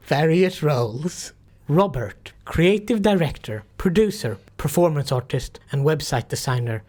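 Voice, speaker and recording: An announcer reads scripted end credits. The pace is slow and the pitch sits in the middle. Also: a little mellow.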